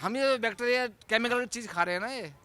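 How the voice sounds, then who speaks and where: Male passer-by, extremely high, forceful voice, casual, earnest, listing points. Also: quick pace, smooth. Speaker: member of the public. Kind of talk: casual street opinion. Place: outdoors on a street.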